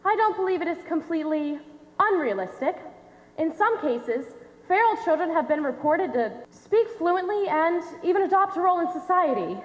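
A young woman playing an earnest scientist in a stage play, giving a formal presentation with projected, deliberate delivery. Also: very high-pitched, expressive. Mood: measured, explanatory. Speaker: stage actor in a high school one-act play. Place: on stage in a large dark auditorium. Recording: echoey theater hall, long reverb.

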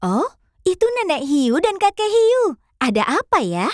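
Slow, high-voiced woman narrating for kids. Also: very animated, no room sound, clean studio sound. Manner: sing-song, addressing young viewers. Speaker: narrator of a children's cartoon. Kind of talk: scripted narration for young children.

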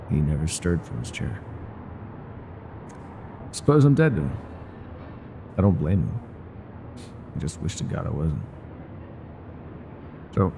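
A man playing a world-weary, gruff but secretly tender older ex-mafia bartender in an intimate, confiding audio roleplay. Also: sits low, dark tone, slight background noise, full character voice. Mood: gruff warning. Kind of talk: intimate ASMR roleplay monologue.

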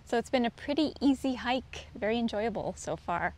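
A woman's voice: on the high side, expressive, normal speed, darkish tone. Woman narrating a hike outdoors. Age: middle-aged. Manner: easygoing, descriptive, points things out as she walks. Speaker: vlogger hiking a trail. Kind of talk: casual hiking narration. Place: outdoors on a forest trail. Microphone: handheld camera, often at a distance. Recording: faint outdoor noise.

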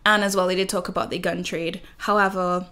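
Trinidad-born journalist lady, earnest, steady explaining, indoor studio interview. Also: lowish voice, fairly quick. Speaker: journalist and researcher. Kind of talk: interview guest, conversational.

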